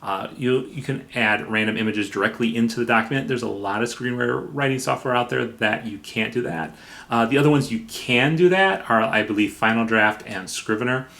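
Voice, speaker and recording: A man hosting a software review in a casual, informal way, somewhat halting, with playful repetition for emphasis. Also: mid-range voice, gravelly. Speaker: software reviewer and video host.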